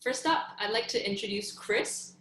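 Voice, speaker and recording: A woman's voice: medium pitch, moderate pace, a bit bright, echoey room, a little hiss or hum. A woman from Singapore, hosting a video call and introducing judges, upbeat and full of "um" fillers. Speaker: host.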